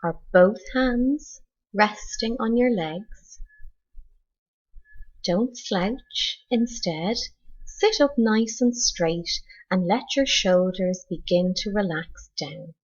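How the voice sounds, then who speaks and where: A woman leading a scripted guided meditation like a teacher, in a measured, instructional manner with deliberate pauses. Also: medium pitch, expressive, muffled recording.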